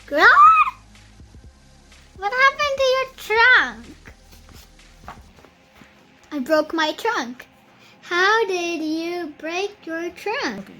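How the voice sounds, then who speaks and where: Little kid reading a picture book out loud, super slow n careful, bright voice, bit of music behind. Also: very high voice, big pitch swings. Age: child. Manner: halting, careful beginner reader.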